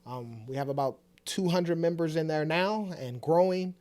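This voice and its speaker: A man's voice: a little high, normal speed, darkish tone. A man in his late thirties co-hosting a family vlog in a casual, conversational style. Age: late 30s. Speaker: co-host of a couple's family vlog.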